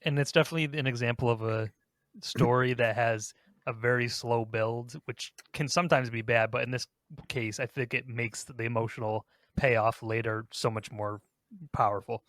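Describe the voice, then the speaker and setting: Man, podcast host, casual discussion style, medium pitch, dry close sound. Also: steady tone, moderate pace, fairly bright tone, dry recording.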